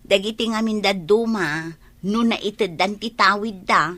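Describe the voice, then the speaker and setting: A woman's voice: medium pitch, unhurried, bright, forceful tone. A woman with a Filipino Ilocano accent playing a nosy, gossiping village neighbour in a radio drama. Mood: gossipy.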